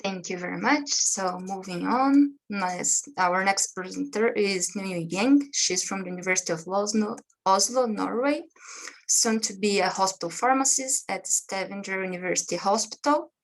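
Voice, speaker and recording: A woman's voice: medium pitch, low-quality recording. A woman moderating a session, introducing the next presenter informally. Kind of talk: introducing speakers.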